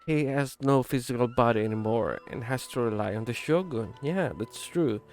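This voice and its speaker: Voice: mid-range voice, moderate pace. Gamer reacting casually to a story game, mid-range voice, bit of music behind. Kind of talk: casual gaming reaction commentary.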